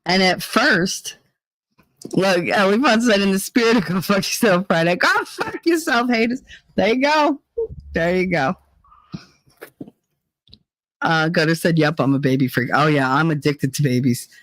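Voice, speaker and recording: A middle-aged woman with a deep, chesty voice, hosting a livestream in casual, profane chat while reading viewer comments. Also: a little slow, pauses often, a bit bright, dry recording, low-quality recording. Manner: chatty and profane, rambling with many fillers. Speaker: livestream host.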